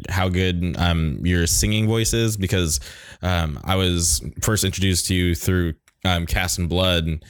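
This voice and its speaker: A man interviewer asking questions in a halting way, full of ums and likes. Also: low voice, clean tone, dry recording, studio quality.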